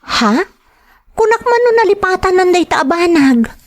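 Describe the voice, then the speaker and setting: A woman voicing a young man's concerned, indignant sweetheart, animated and questioning, in an audio drama. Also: very high voice, normal speed, pitched above the performer's own voice.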